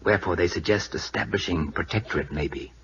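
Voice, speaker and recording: A man's voice: low voice, a little fast, crowd noise. An actor playing a proud, impatient king of Siam in a 1940s radio drama, with a put-on Siamese accent and clipped, formal broken English. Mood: indignant, commanding. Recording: dull lo-fi old radio recording.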